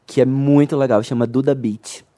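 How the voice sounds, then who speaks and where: A man in casual podcast chat, expressive and chatty, recommending things he likes. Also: medium pitch, normal speed.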